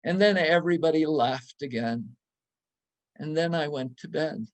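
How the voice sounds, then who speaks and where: A man reading a literary story aloud for a church service, with measured, deliberate phrasing. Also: a little high. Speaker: readers theater reader at a church service.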